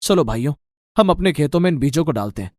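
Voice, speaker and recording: A man playing a wary, blunt village farmer who questions things, in an animated moral story. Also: a little high, fast talker, no room sound, pitched below the performer's own voice, lightly acted. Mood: persuading.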